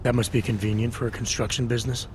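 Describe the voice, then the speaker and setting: An actor playing a guarded, skeptical video game hero who speaks in a terse, dry, questioning way. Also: male voice, medium pitch, flat delivery, quick pace, a little hiss or hum, close to an everyday voice. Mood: dry, doubtful. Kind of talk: video game dialogue acting.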